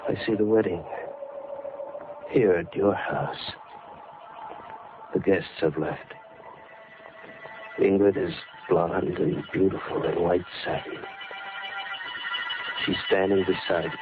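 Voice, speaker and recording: An actor playing a hot-tempered man obsessed with blades, a killer, in an intense, halting 1940s radio thriller style. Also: deep voice, fairly even intonation, loud music behind the voice. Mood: brooding. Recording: tinny, noisy old radio recording.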